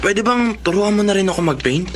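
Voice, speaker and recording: Earnest Filipino schoolboy, affectionate and youthful, very high voice, radio drama style. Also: male voice, expressive, a little fast, a little hiss or hum. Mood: coaxing, fond. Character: eager, affectionate schoolboy who loves drawing.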